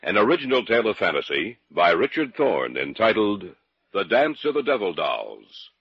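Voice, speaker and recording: An actor as a solemn, ominous announcer introducing tales in old-time radio horror style, with a husky voice. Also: male voice, on the low side, sing-song, moderate pace, no room sound, heavily acted. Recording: dry, muffled vintage radio.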